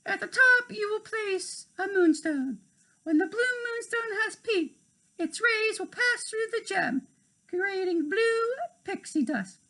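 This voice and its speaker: A man voicing a formal, exacting fairy minister who speaks in measured declarations in a children's storybook. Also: very high voice, animated, pushed and bright, voice pushed up, full character voice, poor audio. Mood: solemn, instructing.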